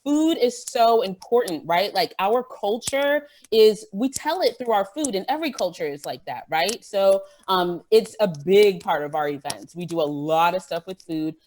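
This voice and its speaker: A woman's voice: mid-range voice, lively intonation, moderate pace, hardly any pauses, darkish tone. A woman speaking as a guest podcaster on a panel, talking in an enthusiastic, emphatic way and often checking in with "right?". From West African immigrant family. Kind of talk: lively panel talk about events. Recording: clear noise with clicking sounds.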